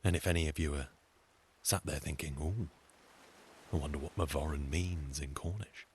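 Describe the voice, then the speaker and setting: A man's voice: rumbling low, steady tone, normal speed, a little hiss or hum. A man with a British accent telling a scripted comic story in a wry, chatty way, full of asides. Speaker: storyteller and singer.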